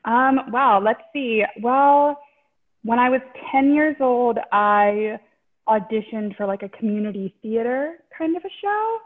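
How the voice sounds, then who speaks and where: A woman's voice: a little high, expressive, measured pace. A woman who directs theater, recalling her childhood in a hesitant, chatty way with many ums and likes. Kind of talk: casual storytelling. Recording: tinny phone-like remote call audio.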